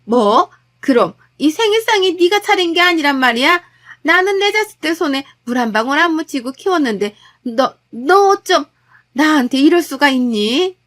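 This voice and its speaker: A woman voicing a harsh, scornful older mother-in-law who scolds without pause. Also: lively intonation, a bit bright. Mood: outraged. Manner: nagging, scornful, shrill.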